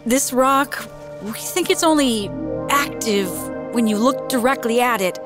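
A woman's voice: on the high side, a little slow, music in the background, close to an everyday voice. A woman playing an earnest, worried character in serious audio drama dialogue.

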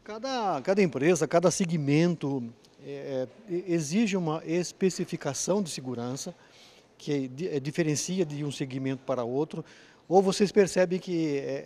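A man's voice: a little high, moderate pace, mellow. A man in his fifties presenting and interviewing guests, asking long conversational questions into a handheld mic in a large indoor hall.

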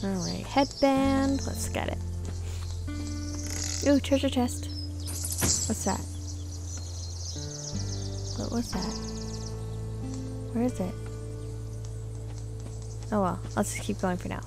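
Creaky-voiced woman doing casual gameplay commentary. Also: on the high side, takes it slowly, pauses often, over music. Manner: chatty, thinking aloud, exclaiming at the game. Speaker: video game player and commentator.

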